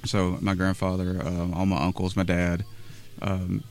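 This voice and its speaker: A man's voice: sits low, monotone, a little slow. A man from Nashville, a musician guest, talking easily in a casual interview, often restarting his words. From Nashville, Tennessee. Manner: easygoing, repeats words and restarts.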